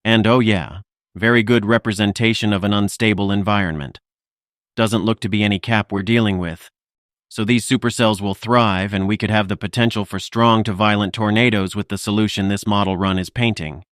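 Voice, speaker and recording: A man playing a composed weather livestreamer, giving measured, informal technical forecast commentary. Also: on the low side, fairly even intonation, a bit bright, dry recording, clean studio sound, close to an everyday voice. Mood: focused, analytical.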